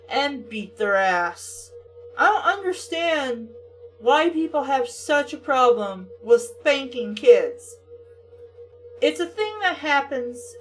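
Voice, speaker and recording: A woman reading a story aloud and riffing on it casually, with a blunt, sarcastic, sweary manner. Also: sits high. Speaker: host reading a book aloud with critical commentary.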